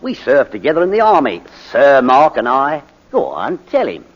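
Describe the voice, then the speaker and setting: An actor playing a sly, down-at-heel ex-soldier in a 1940s radio drama, insinuating and falsely deferential. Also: male voice, mid-range voice, wildly swooping pitch, moderate pace. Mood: sly, insistent. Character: sly, rough-clad ex-soldier angling for money. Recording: muffled, reverberant 1940s radio recording.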